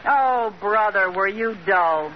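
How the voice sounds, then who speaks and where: An actress playing a mischievous, tactlessly frank American housewife in a 1940s-style radio comedy. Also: female voice, mid-range voice, lively intonation, slow pace. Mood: blunt, deadpan. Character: blunt, mischievous housewife telling tactless truths. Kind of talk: 1940s radio sitcom acting. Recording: muffled old radio recording with hiss.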